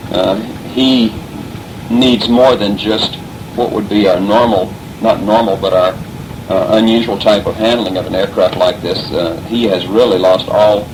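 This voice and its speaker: An American man, an air traffic controller, recounting an incident informally in a matter-of-fact, hesitant way. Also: fairly low pitch. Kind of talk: informal spoken recap of an incident. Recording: clearly noisy tape recording.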